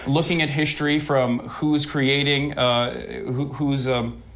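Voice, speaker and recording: A male debate guest answers pointed questions in a heated exchange, often stalling mid-sentence to find his words before he pushes back. His voice has an ordinary middle pitch. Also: flat delivery, a bit bright. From United States. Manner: halting, searching for words, then argumentative.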